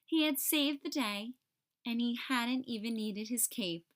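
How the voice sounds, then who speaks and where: High-voiced woman reading a children's storybook slowly. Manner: deliberate, clearly paced storytelling. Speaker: children's read-aloud host, teacher. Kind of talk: storybook read-aloud narration for young children.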